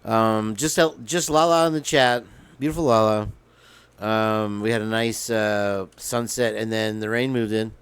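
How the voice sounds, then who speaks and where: A man's voice: fairly low pitch, steady tone, a little slow, fairly bright tone, clear, resonant tone, no room sound. A man hosting a casual livestream, rambling and joking between bits of guitar playing, his voice crackly today. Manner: rambling, joking, sings off-key. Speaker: livestream host. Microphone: headphones with mic.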